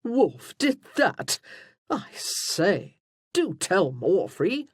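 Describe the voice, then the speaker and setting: A narrator putting on a mischievous young aristocrat in a period romance audiobook. The voice is boisterous and exclamatory, swooping widely, with a husky edge. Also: mid-range voice, very animated, extremely slow, no room sound, clean studio sound, pitched above the performer's own voice, full character voice. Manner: exclamatory, mock-shocked.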